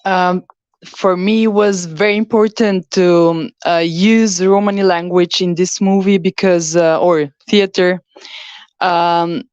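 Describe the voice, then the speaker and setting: A woman's voice: lowish voice, fairly even intonation, a little slow, darkish tone, clear, resonant tone, no echo, muffled recording. A woman, a filmmaker answering at a festival Q&A, hesitant and full of fillers. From Serbia. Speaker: filmmaker on a panel.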